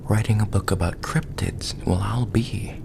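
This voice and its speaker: Man playing a deep-voiced, subtly ominous deliveryman. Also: fairly even intonation, a little slow. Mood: curious, ominous. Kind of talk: ASMR roleplay acting. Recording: clearly noisy background.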